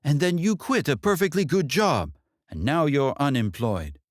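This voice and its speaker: A man's voice: fairly high pitch, lively intonation, moderate pace, no room sound, clean studio sound, pitched above the performer's own voice, close to an everyday voice. A man voicing an older mother in a story, blunt and proud, with emphatic rhetorical questions. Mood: scolding. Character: older mother, wise, proud, sharp-tongued, bitter about in-laws.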